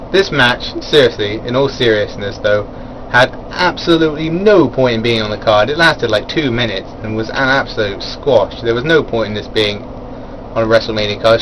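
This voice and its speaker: A man's voice: medium pitch, background noise. Rambling man reviewing wrestling. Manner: rambling, filler-heavy, chuckles at his own asides. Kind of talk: casual spoken event review.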